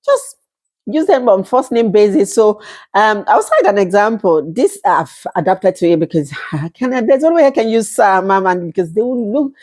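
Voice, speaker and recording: Nigerian woman, 30s, casual vlog host, talkative with easy laughs, mid-pitched voice. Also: big pitch swings, moderate pace, darkish tone. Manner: chatty, laughs often, acts out quoted exchanges. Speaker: vlogger. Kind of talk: casual talk to camera.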